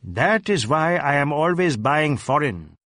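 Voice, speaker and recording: A man's voice: a little high, very animated, measured pace, a bit bright, no room sound, clean studio sound. A man voicing an earnest, talkative Indian man who complains matter-of-factly, as character dialogue in an audiobook. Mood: explaining.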